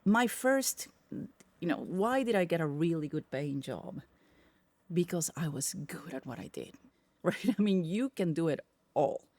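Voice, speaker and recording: A middle-aged woman with a Spanish accent, Spanish being her first language, tells stories with emphatic, lively energy. Her voice carries a slightly gravelly texture. The sound is dry and close. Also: fairly low pitch, unhurried, no room sound. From Peru. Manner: animated, emphatic storyteller. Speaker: podcast guest, tech executive. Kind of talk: casual interview conversation.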